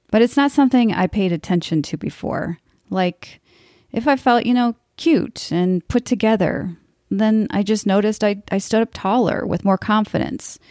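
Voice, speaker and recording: Blunt, frank woman podcaster with a dark voice. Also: lowish voice, no room sound, clean studio sound. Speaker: podcast host. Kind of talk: scripted podcast monologue.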